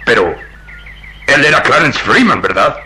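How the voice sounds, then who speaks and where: An actor playing an indignant, skeptical police inspector in a radio drama, deliberate and theatrical. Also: male voice, mid-range voice, very animated, pushed and bright, full character voice. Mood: incredulous. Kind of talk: Latin American radio drama acting.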